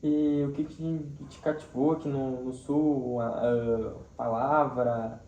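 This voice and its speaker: A man's voice: mid-range voice, fairly even intonation, takes it slowly, mellow, dull audio. A young man with a southern Brazilian accent asking casual interview questions in hesitant, searching phrasing. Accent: southern Brazilian, gaúcho. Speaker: student interviewer. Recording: roomy, distant mic, faint background noise.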